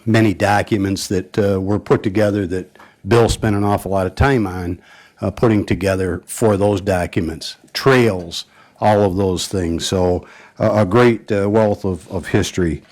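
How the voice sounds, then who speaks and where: A man reading out a colleague's record at a county board meeting, pausing often with fillers. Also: sits low, fairly even intonation, darkish tone. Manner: halting, many "uh" and "um" fillers. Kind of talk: formal tribute speech at a meeting.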